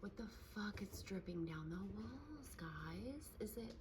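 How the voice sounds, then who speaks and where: A woman in her thirties giving a casual handheld video tour at home, hushed and often whispering, exasperated and sweary. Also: mid-range voice, expressive, measured pace. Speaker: vlogger. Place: indoors at home, in a kitchen. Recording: noisy handheld clip.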